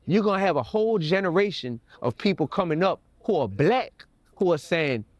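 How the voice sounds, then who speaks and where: A man's voice: sits high, big pitch swings, normal speed, dry recording. An American man talking in an interview, opinionated and emphatic, repeating words for stress. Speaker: rapper being interviewed. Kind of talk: interview, opinionated conversational talk.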